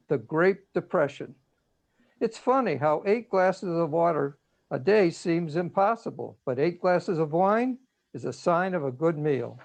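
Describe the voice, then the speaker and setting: A man's voice: sits high, vocal fry, heavily acted. A man playing a personified holiday in an amateur reader's theater, delivering corny comic patter on a video call. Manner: corny question-and-answer joke delivery.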